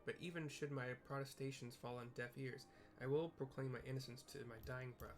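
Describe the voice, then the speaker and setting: A man's voice: mid-range voice, fairly even intonation, a little fast, faint music behind, close to an everyday voice. A man voicing a wounded, earnest young nobleman knight from a fantasy game, speaking in a formal, despairing way. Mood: solemn, resolute. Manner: formal, archaic, declamatory.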